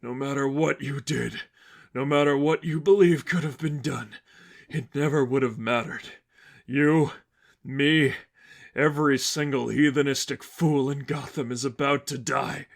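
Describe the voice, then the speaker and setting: A man's voice: mid-range voice, steady tone, unhurried, vocal fry. Man voicing a battered, menacing religious fanatic. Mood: grim menace. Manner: struggling, strained, sanctimonious.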